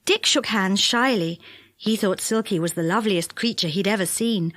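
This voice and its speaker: A woman narrating a children's audiobook in an expressive storytelling style. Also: mid-range voice, normal speed, no room sound.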